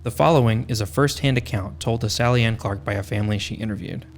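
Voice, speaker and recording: Low-voiced man narrating, wry, over background noise. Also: quick pace. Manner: wry, tongue-in-cheek asides. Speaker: podcast host. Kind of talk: scripted podcast narration.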